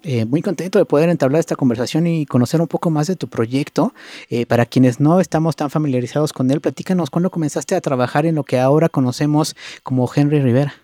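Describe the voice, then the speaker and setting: A man interviewing a singer, asking measured, formal questions with some fillers. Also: on the high side, warm tone, no echo.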